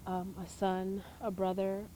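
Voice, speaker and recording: A woman's voice: medium pitch, monotone, a little slow, warm tone. An American woman speaking in a news interview with slight noise behind her. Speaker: bereaved sister, actress.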